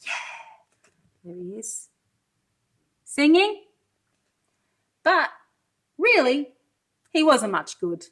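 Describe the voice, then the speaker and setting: A woman reading a children's storybook aloud as a pre-school teacher, expressive and sing-song, pausing to ask her young listeners questions. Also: sits high. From Wales.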